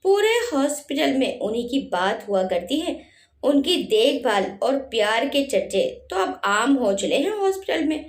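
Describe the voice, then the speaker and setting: A woman voicing a young newlywed woman doctor who teases gently in a playful way. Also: sits high, very animated, moderate pace, pitched above the performer's own voice. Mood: teasing, admiring. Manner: light, playful teasing. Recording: roomy echo.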